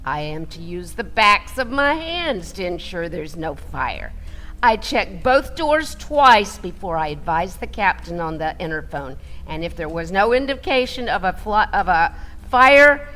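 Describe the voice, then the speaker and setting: A woman playing an excitable flight attendant who tells her training story theatrically, with deliberate delivery and loud commands. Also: lowish voice, soft background music, low-quality recording. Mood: earnest, dramatic. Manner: dramatic, deliberate, breaking into loud barked commands. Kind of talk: theatrical first-person storytelling for a radio play.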